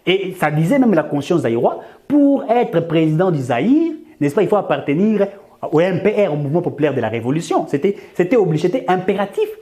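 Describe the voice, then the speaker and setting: Congolese French man, warm dark tone, fervent rhetorical commentator. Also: high-pitched, very animated, a little fast. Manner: rhetorical, switching between French and Lingala, repeats phrases. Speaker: political commentator. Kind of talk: impassioned political commentary.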